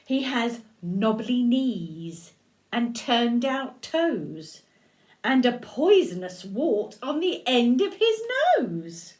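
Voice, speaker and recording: A grandmother voicing a small, quick-witted brown mouse in a storybook reading. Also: a little high, sing-song, darkish tone, full character voice. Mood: boastful.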